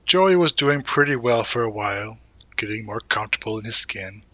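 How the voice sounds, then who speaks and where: A man playing a supportive, gently teasing young college guy in an intimate audio roleplay, talking casually and reassuringly with hesitant pauses and murmured asides. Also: on the low side, lively intonation, dry recording. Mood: sincere, reflective. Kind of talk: intimate audio roleplay, one-sided conversation.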